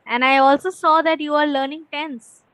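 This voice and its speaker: A woman's voice: sits high, fairly even intonation, moderate pace, noisy background. A woman with an Indian accent, an English teacher chatting casually and encouragingly with a student through simple questions. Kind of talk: casual conversation practice.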